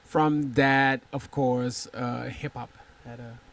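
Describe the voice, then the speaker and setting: A man from the Netherlands with a Dutch accent, a guest artist telling his story in a halting way, repeating words. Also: mid-range voice, fairly even intonation, gravelly, fairly bright tone.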